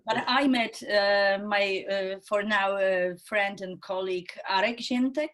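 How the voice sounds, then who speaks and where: A woman in her thirties from Poland with a Polish accent, talking hesitantly with many pauses and fillers as an interview guest. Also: mid-range voice, fairly bright tone. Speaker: improv theatre trainer, guest. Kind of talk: casual interview talk in a second language.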